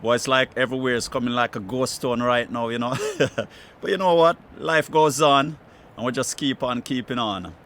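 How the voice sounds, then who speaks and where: A man's voice: mid-range voice, normal speed, a bit bright, a little hiss or hum. A man hosting a music show, introducing things in a casual, laid-back conversational way.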